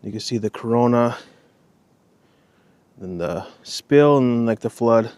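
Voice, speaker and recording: A man's voice: mid-range voice, slow, darkish tone, clear, resonant tone, dry recording. A man vlogging casually on a night walk outdoors, rambling and swearing now and then, with a slight Minnesotan accent. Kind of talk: casual walking vlog.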